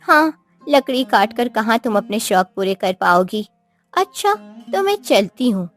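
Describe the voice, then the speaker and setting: A woman's voice: fairly high pitch, big pitch swings, unhurried, faint music behind. A woman voicing a boastful, patronising village neighbour in a cartoon story. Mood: smug, boastful. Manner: gloating, patronising.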